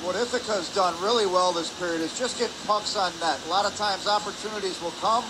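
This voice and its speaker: A man's voice: high-pitched, fairly quick. A man giving conversational, explanatory color commentary on live hockey from an ice rink. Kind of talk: live sports analysis. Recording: clear background noise, arena ambience.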